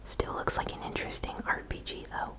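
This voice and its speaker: A woman hosting an ASMR gaming news recap in a breathy whisper, with soft, unhurried, informal commentary.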